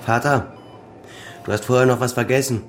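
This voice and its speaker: An actor playing a grim, resolute grown son in a radio crime drama, speaking deliberately with quiet menace. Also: male voice, mid-range voice, steady tone, faint music behind, natural voice. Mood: grave.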